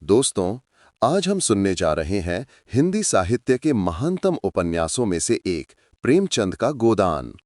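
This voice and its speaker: A man's voice: fairly low pitch, big pitch swings, a little slow, a little mellow, no echo, clean studio sound. A man narrating a story in a dramatic scripted style, speaking to his listeners as friends.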